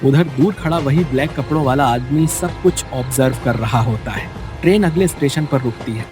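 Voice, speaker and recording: A man's voice: mid-range voice, normal speed. A man reading a scripted bedtime story in a dramatic storytelling style, as narrator. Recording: music bed behind the voice. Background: background music.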